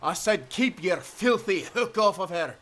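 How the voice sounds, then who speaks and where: A man's voice: sits high, animated, measured pace, a bit bright. A man playing a bold, defiant Scottish timberman with a swaggering manner in an audio drama.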